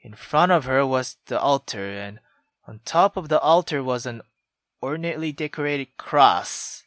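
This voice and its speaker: A young man playing a visual novel on camera, reacting loudly and excitably with lots of swearing. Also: mid-range voice, a little slow, dry recording. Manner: loud, excitable, heavy swearing. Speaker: gaming YouTuber. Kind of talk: let's-play commentary.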